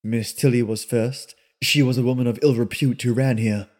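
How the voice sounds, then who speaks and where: A man reading aloud and voicing a sullen, weary young drifter who speaks in a low, rustic way. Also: steady tone, moderate pace, darkish tone, lightly acted. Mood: weary, somber. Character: sullen young male drifter, weary beyond his years.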